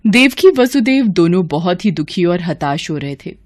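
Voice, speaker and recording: A woman's voice: fairly low pitch, sing-song, moderate pace, a little mellow, no room sound. A woman narrator reading a scripted devotional story.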